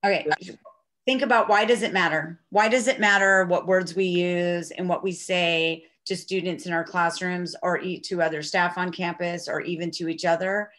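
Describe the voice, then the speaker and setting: Brief: lowish-voiced woman leading a workshop, repeats her questions to the room. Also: fairly even intonation, normal speed, a bit bright. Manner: repeats prompts to the audience. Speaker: workshop presenter. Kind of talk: conference workshop talk.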